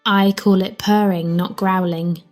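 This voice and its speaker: A polite, inquisitive storybook girl played by a woman, with a medium pitch. She talks precisely and takes everything literally, with soft music behind her. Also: steady tone, a little slow, close to an everyday voice. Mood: puzzled, polite. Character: polite, curious, literal-minded little girl.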